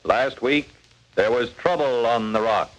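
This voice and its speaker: An actor playing an urgent, dramatic announcer on a 1940s radio crime show, on an old recording. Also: male voice, medium pitch, measured pace, lightly acted, rough recording. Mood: urgent, questioning.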